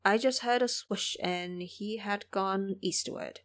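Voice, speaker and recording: A woman's voice: mid-range voice, takes it slowly, close to an everyday voice. Woman playing a polite, resigned monk.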